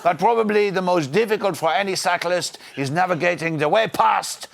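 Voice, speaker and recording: A man's voice: high-pitched, normal speed, creaky. A man playing a lecherous, pompous French cycling pundit in a put-on French accent, full of innuendo. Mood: leering. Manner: innuendo-laden, theatrical, drawled. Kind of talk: comedy sketch in character.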